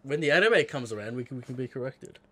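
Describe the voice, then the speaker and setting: A man's voice: mid-range voice, lively intonation, quick pace, a bit bright. A man co-hosting a podcast in casual chat, chiming in with short agreements and sarcastic jabs. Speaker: podcast co-host.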